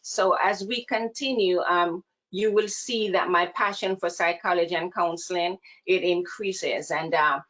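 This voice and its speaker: Woman, Jamaican accent, low voice, earnest measured webinar presenter, dull video call sound. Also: fairly even intonation, fairly bright tone. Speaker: counseling presenter.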